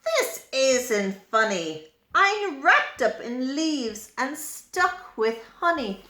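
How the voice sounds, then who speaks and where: A woman voicing a cross, gloating male lizard wizard in a children's storybook, slow, emphatic and commanding. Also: sits high, big pitch swings, sharp tone, heavily acted. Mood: annoyed. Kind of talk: children's storybook read-aloud. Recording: roomy, echoing room.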